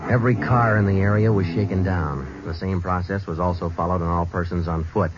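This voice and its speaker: An actor playing a clipped, matter-of-fact police detective who narrates a 1940s radio drama. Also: male voice, sits low, fairly quick, close to an everyday voice. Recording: lo-fi 1940s radio recording with music.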